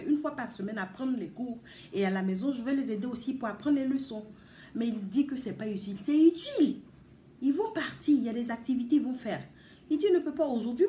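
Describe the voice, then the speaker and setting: A woman's voice: a little high, mellow, echoey room, a little hiss or hum. A woman vlogger giving casual advice to mothers, talking earnestly and emphatically, repeating words and addressing her listeners directly. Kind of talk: casual advice talk to viewers.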